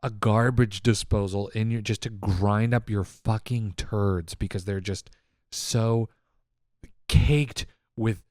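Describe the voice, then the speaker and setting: Slow-talking, profane millennial guy. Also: lowish voice, steady tone, darkish tone, no room sound, clean studio sound. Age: 30s. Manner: profane, mocking, laughs often. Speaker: podcast co-host. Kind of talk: casual reaction chat.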